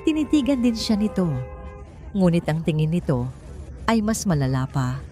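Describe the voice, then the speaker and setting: A woman storyteller reads a dramatic scripted romance. Her voice sits a little low, and music plays softly behind the narration. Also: big pitch swings, normal speed.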